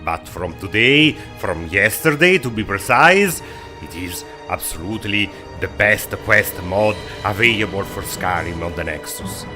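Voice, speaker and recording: Man, video review host, slow, emphatic, halting, faint music underneath. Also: lowish voice, lively intonation. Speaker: host of a game mod show. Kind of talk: informal video review narration.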